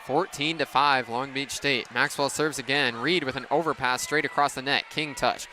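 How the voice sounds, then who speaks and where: A young man calling live sports on radio in clipped phrases that build into excited calls. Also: medium pitch, moderate pace. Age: young adult. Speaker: radio play-by-play sports announcer. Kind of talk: live radio play-by-play commentary. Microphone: headset. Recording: noisy gym with court sounds behind. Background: gym ambience, bouncing ball.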